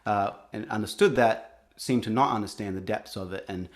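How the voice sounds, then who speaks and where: A young adult man with an Australian accent, speaking earnestly in an interview, often restarting his sentences. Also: lowish voice. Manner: earnest, halting, many restarts. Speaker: guest, singer-songwriter. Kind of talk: reflective interview talk.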